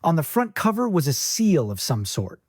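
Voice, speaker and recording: Guy reading an audiobook story, measured delivery, really expressive pitch, dry sound. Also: no echo. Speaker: audiobook narrator. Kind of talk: scripted fiction narration.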